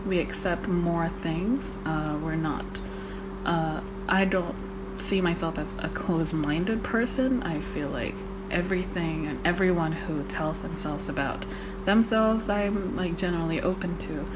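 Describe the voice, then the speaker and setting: A woman's voice: fairly low pitch, unhurried, heavy background noise. Chatty young woman from Malaysia. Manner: chatty, swearing, many fillers. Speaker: podcast co-host. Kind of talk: casual chat.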